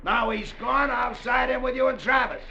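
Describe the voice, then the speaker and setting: Scared pleading sailor, super high sharp voice, muffled old radio drama. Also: male voice, steady tone, moderate pace, creaky, exaggerated voice. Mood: urgent, bargaining. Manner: urgent, pleading. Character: McGraw, pleading shipmate, frightened and bargaining for his life. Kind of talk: 1940s-50s radio drama acting. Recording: muffled, faintly noisy vintage radio.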